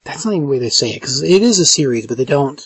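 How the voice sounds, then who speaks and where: A man with a raspy voice hosting a podcast in casual chat, halting and often correcting himself. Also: medium pitch, expressive. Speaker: podcast host.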